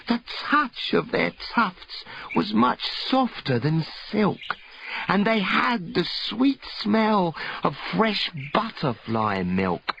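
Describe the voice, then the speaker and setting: A man narrating a rhyming storybook in a dramatic, expressive, theatrical way. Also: high voice, a little hiss or hum. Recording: dull lo-fi recording with loud music.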